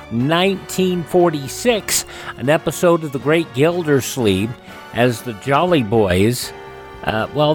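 A man with an American accent hosting a radio show, presenting history items in a measured, semi-scripted way. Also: fairly high pitch, poor audio.

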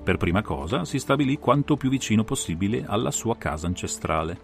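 A man performing an analytical gentleman detective recounting a solved case in a dramatized audiobook, with measured, theatrical phrasing. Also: fairly low pitch, animated, vocal fry, soft background music. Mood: grave, analytical. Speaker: audiobook reader and interpreter.

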